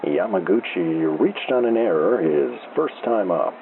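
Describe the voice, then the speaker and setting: A man playing an unflappable small-town baseball radio announcer, calling play-by-play in an unhurried deadpan over a noisy, thin AM broadcast. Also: deep voice, gravelly, narrow, tinny audio, full character voice. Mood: calm, matter-of-fact. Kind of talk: slow old-time baseball radio play-by-play.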